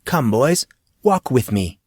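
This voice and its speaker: A man voicing a brisk, formal, wry male schoolteacher with pompous, precise wording in an audiobook. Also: mid-range voice, big pitch swings, husky, no room sound, studio quality, exaggerated voice. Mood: jovial, formal.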